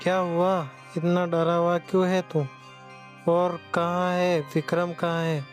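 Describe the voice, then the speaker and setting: A man playing a stern villager in a horror cartoon, with flat, deliberate delivery. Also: sits high, faint music behind. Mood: concerned. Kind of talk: scripted cartoon dialogue.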